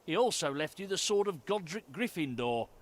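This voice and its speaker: A man's voice: a little high, expressive, normal speed. A man playing a kindly, hesitant middle-aged wizard father in a video game. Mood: gentle, apologetic.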